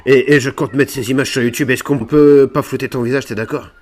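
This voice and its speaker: A man's voice: medium pitch, fairly even intonation, fairly quick. A man improvising game roleplay banter in a cheeky, swearing, provocative way on a gaming microphone. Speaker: video creator playing a prankster in online roleplay.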